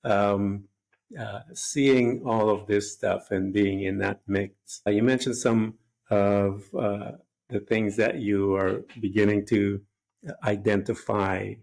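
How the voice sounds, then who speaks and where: Earnest male interviewer with a lowish voice, rambling reflective style. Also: steady tone, takes it slowly, creaky, darkish tone. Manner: earnest, rambling, fond of "if you will". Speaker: podcast host.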